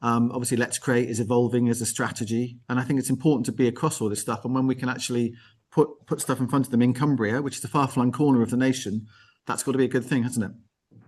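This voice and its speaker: A man with a medium-pitched voice hosts an informal live arts interview. He talks conversationally, building his points in rephrasings and runs of short parallel phrases. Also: steady tone, quick pace, a little mellow, breathy edge. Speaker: host.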